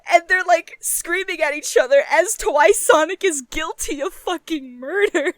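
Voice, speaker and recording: A young woman co-hosting a casual chat, giggly and sweary, telling things in halting bursts full of "like". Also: very high-pitched, dry recording, clean studio sound. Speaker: podcast co-host. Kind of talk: casual chat, retelling a game.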